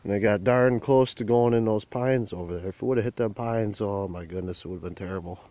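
A man's voice: on the low side, fairly quick, dark tone, no echo. A man vlogging on a phone as he walks a burnt field outdoors, talking in a plain, rambling rural way. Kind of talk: casual walk-and-talk vlog. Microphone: handheld phone camera while walking.

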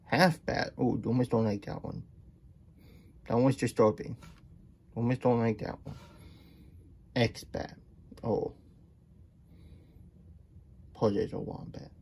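A man voicing a childlike, good-natured hedgehog plush puppet with a husky voice, reading a picture book with halting, folksy asides. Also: mid-range voice, fairly even intonation, darkish tone, a little hiss or hum. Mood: puzzled, grumbling. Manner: speaks of self in third person, halting, folksy. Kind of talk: puppet storytime read-aloud with chatty asides.

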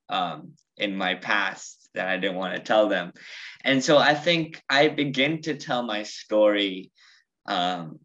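Teen guy, bit of an indian accent, low voice, talks slow, kinda muffled video call. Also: a bit bright. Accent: slight Indian. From United States, raised partly in India. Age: teens. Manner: reflective, with many ums and restarts. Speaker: student guest. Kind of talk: personal story on a podcast. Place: bedroom at home.

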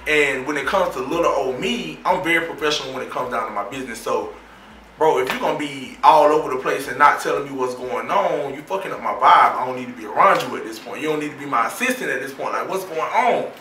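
A man gives a confessional for a reality show, bragging and blunt in loose, profane talk. His voice is bright and forward. It sounds a bit distant in an echoey room, with music playing softly underneath. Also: medium pitch, quick pace, slight background noise. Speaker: reality show cast member, rapper. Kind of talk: confessional interview. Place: studio seat before a screen backdrop.

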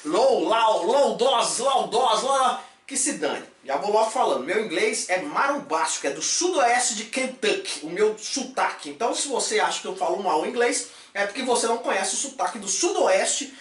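A man's voice: high-pitched, expressive, normal speed, hardly any pauses, distant mic. A man in his thirties hosts a casual fitness vlog in a small room, talking animatedly and tossing in jokey catchphrases. His voice sits back from the mic. The room rings with echo. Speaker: fitness supplement YouTuber. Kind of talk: energetic casual vlog chat. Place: small room with shelves of supplements.

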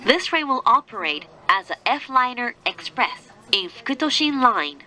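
A woman reading scripted train announcements in a formal, measured, carefully enunciated manner. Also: fairly high pitch, big pitch swings, bright, forceful tone. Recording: train announcement with faint vehicle noise. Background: moving train noise.

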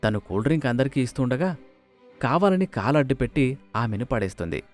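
A man's voice: medium pitch, big pitch swings, brisk, darkish tone. A man narrating a scripted moral story as a storyteller, recorded close on the mic. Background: music.

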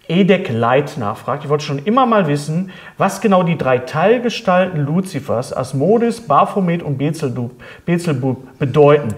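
A middle-aged man hosting a casual interview and reading out questions, often halting and repeating words. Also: a little high. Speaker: talk show host. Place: indoor studio set at a table.

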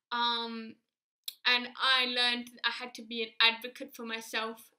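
A woman, giving an earnest, measured personal speech to a close camera in a small room. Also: a little high, rough recording. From Australia. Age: 20s. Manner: earnest, measured, steady delivery. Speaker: alumni speaker. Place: small indoor room against a plain wall.

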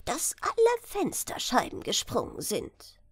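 Woman voicing a solemn, high-pitched flower knight. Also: huge pitch swings, a little slow, husky, no echo, studio quality, full character voice. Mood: formal reporting. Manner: solemn, ceremonious. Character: chivalrous larkspur flower knight, a formal male palace guard. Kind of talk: fairy-tale storybook reading.

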